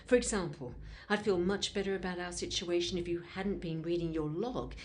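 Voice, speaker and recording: Actress as low-pitched, sardonic female survivor. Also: brisk, slight background noise, natural voice. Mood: scornful. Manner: blunt, sarcastic. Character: hard-headed, sardonic injured woman survivor with a gun. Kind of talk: audio drama acting.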